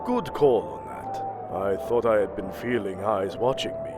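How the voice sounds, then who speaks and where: A man's voice: fairly low pitch, expressive, moderate pace, loud music behind the voice, natural voice. A man playing a proud, commanding king of the gods in an audio drama, with a regal, formal and imperious manner. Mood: measured, gracious.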